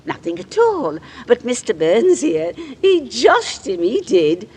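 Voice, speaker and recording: Radio drama cook: nosy scold, high and swooping, in a reverberant old recording. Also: female voice, wildly swooping pitch, takes it slowly, a little mellow, slight background noise, full character voice. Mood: gossipy, scornful. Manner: scolding, emphatic, servant's colloquial speech. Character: gossiping, sharp-tongued female household cook, servile to employers.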